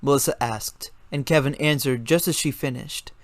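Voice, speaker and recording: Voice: mid-range voice, normal speed, no echo. A narrator giving a scripted dramatic reading of a story.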